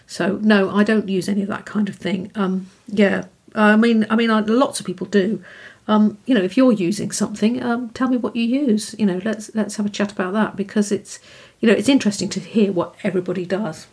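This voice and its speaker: Husky-voiced woman hosting. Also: medium pitch, steady tone, fairly quick, darkish tone. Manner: friendly, rambling, many fillers. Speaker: podcast host. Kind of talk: casual interview chat.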